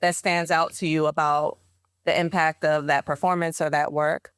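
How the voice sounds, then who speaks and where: A woman hosting a podcast interview, chatty and affirming, quick to laugh as she asks her questions. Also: sits low, flat delivery, fairly bright tone, smooth, no room sound, clean studio sound. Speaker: podcast host. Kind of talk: conversational interview.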